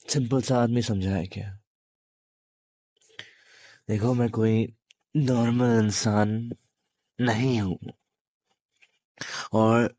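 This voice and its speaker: A menacing male demon acted by a man speaks straight to the listener in a low voice. The delivery is very slow and halting, taunting and possessive, with long pauses. It is a dry, close-sounding audio roleplay. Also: a little mellow, no echo. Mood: taunting defiance. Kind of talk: intimate audio roleplay monologue addressed to listener.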